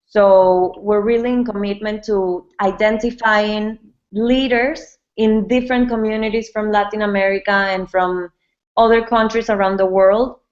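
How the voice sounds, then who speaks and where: A woman's voice: medium pitch, darkish tone. A woman from Venezuela who co-founded a yoga brand, answering interview questions in a casual way and often repeating and restarting her words. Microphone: webinar call mic. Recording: dull lo-fi webinar audio.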